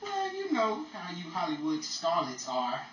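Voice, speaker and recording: A man's voice: sits high, wildly swooping pitch, unhurried, a bit bright, full character voice. A man acting as a wisecracking, exasperated Hollywood actor in a 1940s-style radio comedy, theatrical and sardonic. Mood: dry banter. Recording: roomy, distant mic with faint noise.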